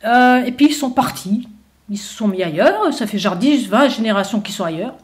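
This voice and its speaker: A woman's voice: a little high, animated, moderate pace, lightly acted. A woman voicing a stubborn shepherd who defends his homeland, indignant and incredulous, with lots of hesitations. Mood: puzzled.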